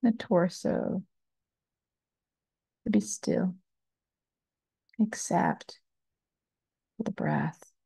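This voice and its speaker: Slow, gravelly woman guiding meditation. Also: fairly low pitch, dry recording. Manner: calm, soothing, unhurried, long pauses between short phrases. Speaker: yoga and meditation teacher. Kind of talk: slow guided meditation, body scan narration.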